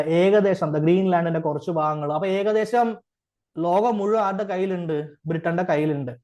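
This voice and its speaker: Man, high-pitched voice, Malayali accent, informal lecture, rhetorical questions, frequent check-ins, English terms mixed in. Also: darkish tone. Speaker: exam coaching teacher. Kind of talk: informal classroom-style lecture.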